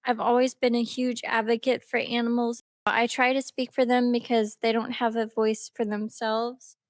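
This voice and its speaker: A young woman who is a little sick, gently narrating her art process in a chatty, upbeat way. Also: fairly high pitch, level tone, normal speed, no echo, studio quality. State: slightly sick. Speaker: art vlogger. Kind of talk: relaxed art tutorial narration.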